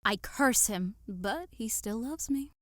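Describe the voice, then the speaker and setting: A woman playing a devoted young lover in an audio drama, earnest and expressive in a theatrical way. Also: a little high, slow pace, dry recording, studio quality. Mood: friendly, earnest. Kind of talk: modernized Shakespeare audio drama acting.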